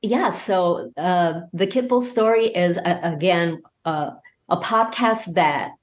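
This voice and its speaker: Lady podcast guest, kinda low voice, excited, stops and starts, casual chat. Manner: excited, halting, repeats words for emphasis. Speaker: podcast guest, audio drama creator.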